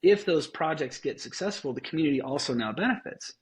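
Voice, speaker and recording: A man's voice: fairly high pitch, lively intonation, fairly quick. A man speaking as an interview guest, talking casually and energetically, swearing now and then and restarting his sentences. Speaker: guest, festival founder.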